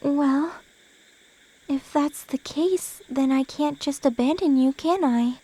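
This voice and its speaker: A woman voicing a gentle, affectionate guardian angel in an audio drama, sweet, tender and coy. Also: high voice, slow pace, rough recording.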